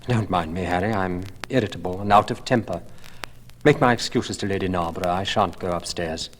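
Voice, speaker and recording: A man reading as a moody, irritable young gentleman, polished and evasive, on an old LP. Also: low-pitched, fairly quick, natural voice. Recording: old LP, long reverb, faint noise.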